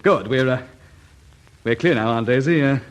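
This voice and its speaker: A man's voice: medium pitch, normal speed, slight background noise, natural voice. A man playing a feckless gambling nephew in a radio comedy, eager and impatient, with stammering restarts and a British accent.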